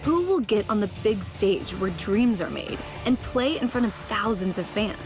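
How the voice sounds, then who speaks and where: A woman's voice: medium pitch, lively intonation, moderate pace. A woman reading scripted documentary narration in the role of narrator. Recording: music behind the voice.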